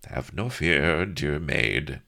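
A swaggering pirate captain, voiced by a male reader speaks in crisp, archaic, self-assured phrases. His voice is deep and gravelly, with an easy, unhurried confidence. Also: lively intonation, pushed and bright, exaggerated voice. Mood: gallant.

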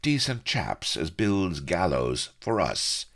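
A man voicing a grim, shrewd pirate captain with rough rustic sea-dog speech in an audiobook. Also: rumbling low, big pitch swings, a little slow, a bit bright, voice pushed up, full character voice. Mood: thoughtful, cynical.

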